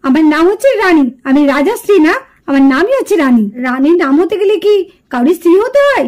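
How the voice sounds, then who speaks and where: A woman voicing a proud young village woman in a puppet drama, high-pitched with lively swings. She is sharp-tongued and jealous, answering curtly and muttering with scornful sarcasm. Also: fast talker. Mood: indignant. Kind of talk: puppet cartoon drama acting.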